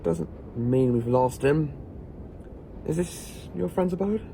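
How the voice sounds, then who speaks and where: A man's voice: medium pitch, expressive, slow pace, background noise. A man playing an eccentric, curious time-travelling professor in an audio drama, wry and musing. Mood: calm, wry. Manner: wry, musing, British idiom.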